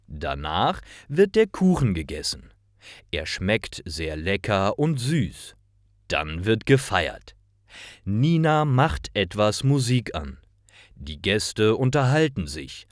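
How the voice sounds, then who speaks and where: A man narrating simple scripted stories for language learners, reading aloud in a deliberate, carefully articulated way. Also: on the low side, no room sound, studio quality.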